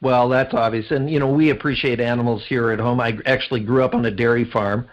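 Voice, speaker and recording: A man's voice: medium pitch, steady tone, fairly quick, muffled recording. A man with a Canadian accent, speaking conversationally as a podcast host and interviewer.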